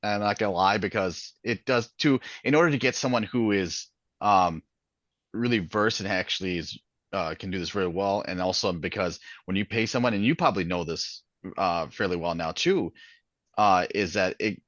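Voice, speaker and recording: A man's voice: sits low, normal speed, fairly bright tone, dry recording, lo-fi sound. A man from Minnesota with a Minnesotan accent, chatting in an author interview, rambling with lots of fillers and restarts. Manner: rambling, many fillers and restarts, laughs often. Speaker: guest author. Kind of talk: casual interview chat.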